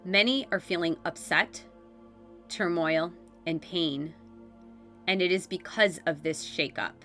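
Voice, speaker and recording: A woman's voice: lowish voice, fairly bright tone, soft background music. A congested woman reading solemn angelic guidance. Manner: measured, read aloud from a written letter. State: congested from a head cold.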